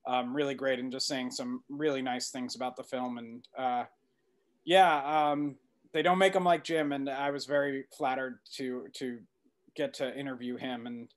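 A middle-aged man talking casually as an interview guest, halting and repeating words as he goes. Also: medium pitch, fairly even intonation. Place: indoor room, video call.

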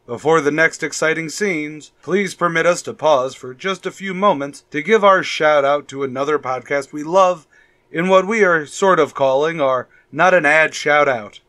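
Higher-pitched male radio announcer. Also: a bit bright. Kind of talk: scripted old-time radio announcing.

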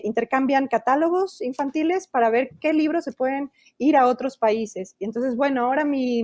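A fairly high yet mellow-toned Mexican woman in her thirties, speaking with a Mexican Spanish accent. She talks animatedly, gesturing as she explains, in an interview over a video call. Her earphone mic gives a close, dry sound. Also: no echo. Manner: animated, gestures while talking. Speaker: illustrator and children's author. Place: indoor room at home. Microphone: earphone headset mic on a video call.

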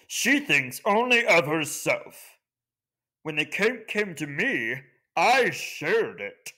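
A self-satisfied male storybook elephant, put on by a man. He talks slowly and pompously, with big swings in intonation. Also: on the high side, sing-song, bright, forceful tone, voice pushed up, full character voice. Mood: smug. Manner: pompous, slow.

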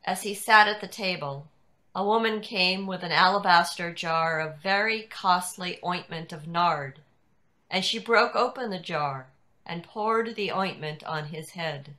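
A woman narrating a scripted scripture reading in a deliberate way. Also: on the low side, pushed and bright. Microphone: video call.

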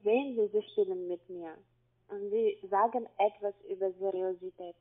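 A woman with a foreign accent speaks over a narrow, tinny phone line. She is a call-centre caller, insistent in manner, piecing sentences together in halting non-native phrasing. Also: mid-range voice, steady tone. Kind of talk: phone conversation.

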